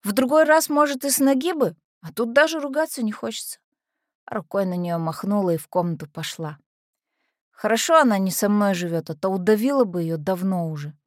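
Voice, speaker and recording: A woman acts out a brash teenage girl from an orphanage, hopelessly in love. She tells her story as a stage-style monologue, slangy and confiding, with naive openness. The voice sits at a middle pitch and the recording is close and dry. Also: fairly quick, no room sound, natural voice. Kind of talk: dramatic first-person monologue.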